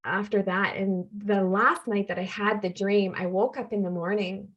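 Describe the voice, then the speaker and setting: A woman's voice: medium pitch, fairly even intonation, normal speed, dull audio. A woman, a podcast guest earnestly telling a personal story and repeating phrases for emphasis. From Canada.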